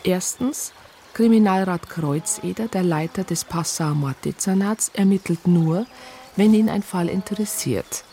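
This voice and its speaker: A woman's voice: lowish voice, measured pace, warm tone, a little hiss or hum. An actress playing an indignant police psychologist who states her complaint in precise, formal words in a radio drama. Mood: reporting, accusing. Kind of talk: radio drama narration and dialogue.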